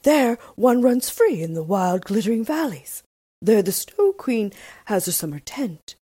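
A woman's voice: mid-range voice, very animated, a little slow, warm tone, husky, no echo, studio quality, voice pushed up. A woman reading a storybook, voicing a proud, free-spirited reindeer who speaks of its home with glowing pride.

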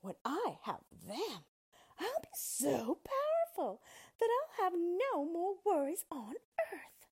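Wicked witch for a storybook: gloating, greedy, hissing, wildly swooping high voice. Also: wildly swooping pitch, full character voice. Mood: scheming. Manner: hissing, shrieking, drawn-out menacing words.

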